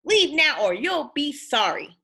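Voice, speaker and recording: A feisty little pig from a storybook, acted out by a woman, young, male and defiant. The voice is fairly high and bright, with indignant, animated retorts. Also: unhurried, creaky, heavily acted.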